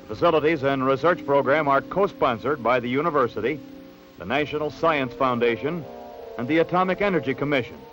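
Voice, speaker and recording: An American man reading scripted narration for a late-1960s newsreel in a measured, polished announcer delivery. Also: mid-range voice, a bit bright, poor audio. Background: background music.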